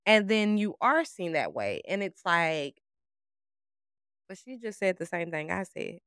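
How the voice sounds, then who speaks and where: Candid woman with a smooth voice. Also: lowish voice, sing-song, measured pace, a bit bright, dry recording, clean studio sound. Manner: candid, storytelling with quoted dialogue. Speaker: podcast co-host. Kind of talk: casual conversation.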